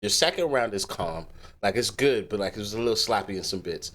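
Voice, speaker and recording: A man hosting a rap battle reaction, talking casually and animatedly with lots of swearing. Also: on the low side. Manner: animated, swearing, pauses clip to comment.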